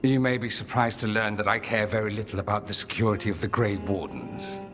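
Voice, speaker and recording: A man's voice: on the low side, a little fast, fairly bright tone. A man playing a witty, haughty nobleman mage in a fantasy game, with an arch, polished, theatrical delivery. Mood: mocking. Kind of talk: theatrical cinematic game dialogue. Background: music.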